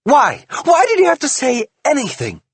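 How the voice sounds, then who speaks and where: A man's voice: a little high, animated, slow pace, breathy edge, no echo, voice pushed up, heavily acted. A man voicing a betrayed wife in a screaming, tearful rage in an audiobook. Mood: furious. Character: furious betrayed wife, shrieking, adult woman. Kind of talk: audiobook character voice.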